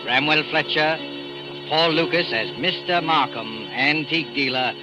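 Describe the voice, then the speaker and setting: An actor playing an ominous, portentous host of a 1940s radio suspense series, announcing with deliberate dramatic pauses. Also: male voice, a little high, lightly acted. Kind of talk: 1940s radio announcing, theatrical scripted introduction. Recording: dull old 1940s radio recording, music behind.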